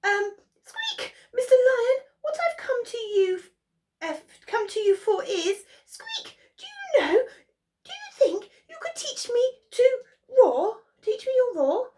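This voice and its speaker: A woman voicing a tiny, timid male mouse who squeaks and stammers in a storybook reading. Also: sing-song, measured pace, darkish tone, full character voice. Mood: nervous.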